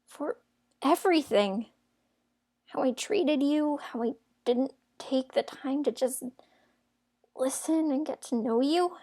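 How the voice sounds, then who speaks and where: A woman acts out a high-pitched young heroine of a fairytale audio drama. She is feisty and guarded, with a wounded sincerity under it. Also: slow pace, long pauses, warm tone. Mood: apologetic, vulnerable.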